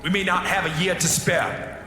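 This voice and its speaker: A man's voice: sits high, fairly even intonation, normal speed, background noise, lightly acted. An actor playing a proud, weary fantasy king who speaks in grand, formal, archaic phrases for an audio drama. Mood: grave, commanding. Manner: formal archaic speech, declamatory. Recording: loud music over the voice.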